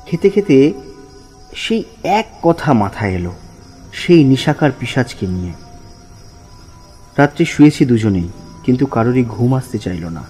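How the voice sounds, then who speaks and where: A man's voice: mid-range voice, very animated, a little slow, long pauses, warm tone. A man playing an anxious husband who narrates his own haunting in a horror audio drama, uneasy and dramatic. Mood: apprehensive. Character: anxious married male narrator, haunted follower of the tantric. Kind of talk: first-person horror story narration with acted dialogue. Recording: music under the voice.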